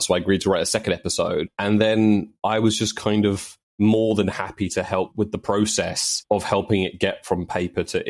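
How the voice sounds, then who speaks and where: A man speaking casually as an interview guest, with wry humour and a habit of repeating words as he thinks. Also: on the low side. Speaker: podcast guest, audio drama producer.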